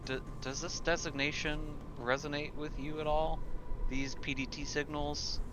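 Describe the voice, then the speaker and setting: A man's voice: fairly high pitch, little pitch movement, moderate pace, background noise. A man talking casually as a player in a tabletop roleplaying game.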